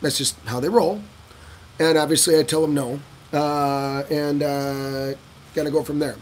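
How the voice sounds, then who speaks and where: A man casually answering viewer questions in a vlog, telling stories in an animated way and acting out other people's lines. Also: unhurried, slight background noise. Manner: animated storyteller who acts out locals' lines in a higher voice. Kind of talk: casual talking-head Q&A.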